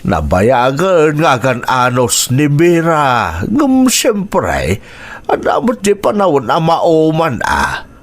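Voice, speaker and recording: A man's voice: fairly high pitch, wildly swooping pitch, slow, full character voice. An actor with an Ilocano accent playing a concerned older grandfather in a radio drama, in an exclamatory, conversational manner. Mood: concerned, exasperated.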